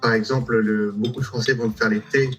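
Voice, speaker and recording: A man, a voice coach, giving quick, encouraging feedback over a video call. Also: fairly low pitch, little pitch movement, fairly bright tone, soft background music. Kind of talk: coaching session chat.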